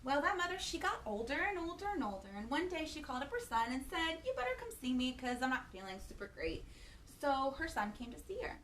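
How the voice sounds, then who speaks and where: A woman hosting children's storytime, reading a picture book in an animated, playful way and chatting to young listeners with questions and exclamations. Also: sits high, brisk, recorded from a distance, slight background noise, rough recording.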